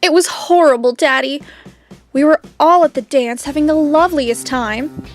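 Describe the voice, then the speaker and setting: Brief: woman as a high-voiced, haughty heiress narrator. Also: moderate pace, soft background music, close to an everyday voice. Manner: haughty, dramatic storytelling. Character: spoiled rich teen girl, narrating to her father. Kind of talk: fan audio drama narration.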